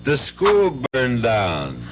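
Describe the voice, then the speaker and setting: A man's voice: medium pitch, wildly swooping pitch, very slow, heavily acted. An actor playing an easygoing gambling father with an American accent in a radio drama. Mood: gleeful. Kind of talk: 1970s radio drama acting. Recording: dull old radio recording, music behind.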